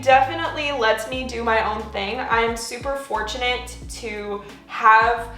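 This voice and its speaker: Casual American woman in her twenties, plant vlogger, a little high, answering questions mid-chore, echoey room. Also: fairly even intonation, unhurried, sharp tone, soft background music. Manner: chatty, laughs often, many filler "like"s. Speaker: plant channel host. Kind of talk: casual Q&A chat while repotting plants. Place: at home indoors, working on plants.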